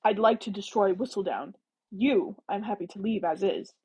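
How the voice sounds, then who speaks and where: A woman voicing an exasperated, insistent gentleman in a Regency romance audiobook, testy and persuasive in manner. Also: mid-range voice, normal speed, husky, poor audio. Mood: grim, muttering.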